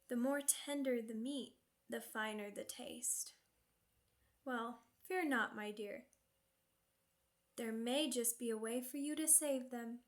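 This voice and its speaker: A woman playing a sadistic, seductive vampire queen in an ASMR roleplay, with a purring, condescending, theatrical delivery. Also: on the high side. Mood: condescending, bargaining. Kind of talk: ASMR roleplay, scripted villain monologue.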